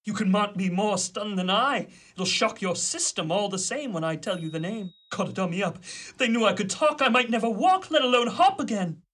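A woman's voice: mid-range voice, lively intonation, normal speed, fairly bright tone, lightly acted. A woman playing a vain, pompous male champion rabbit in a comedic radio play, with affected, florid diction. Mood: aggrieved, confiding.

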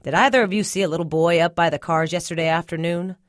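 A woman voicing a gruff, defensive, hard-drinking rural father with a blunt, folksy manner in an audiobook. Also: sits low, brisk, no echo, clean studio sound, natural voice. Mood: stern, agitated. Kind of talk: audiobook character dialogue.

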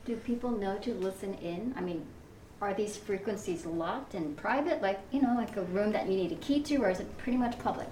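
A woman's voice: medium pitch, brisk, dark tone, distant mic. A woman asking conversational questions as an interviewer. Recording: echoey, off-mic, faint background noise.

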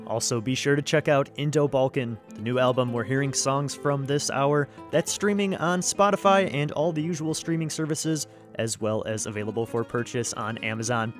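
A man hosting a radio music show, reading scripted announcements in a smooth, even way. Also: medium pitch.

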